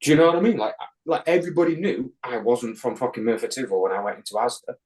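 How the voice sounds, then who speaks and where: A man's voice: medium pitch, fast talker, a little mellow. A man from England, a podcast guest, telling a rambling anecdote in casual chat, swearing constantly and restarting his sentences with ums and likes.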